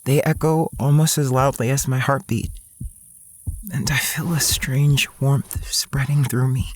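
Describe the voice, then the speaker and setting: A man's voice: medium pitch, steady tone, unhurried, lightly acted. An insecure, dreamy young man played by an actor tells his own story as audio drama narration. He sounds earnest and introspective, in a mid-range voice. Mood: flustered, smitten. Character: self-conscious, dreamy, insecure young male student writer.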